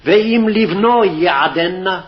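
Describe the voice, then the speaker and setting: A man's voice: sits high, fast. A man formally reciting scripture phrase by phrase, on a dull, lo-fi archival recording. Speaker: scripture reader. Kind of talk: scripted Hebrew Bible reading.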